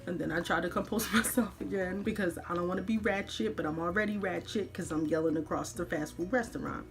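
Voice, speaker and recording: A woman podcast host telling a personal story in an animated, colloquial way, dramatically acting out the dialogue. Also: on the low side, brisk, soft background music.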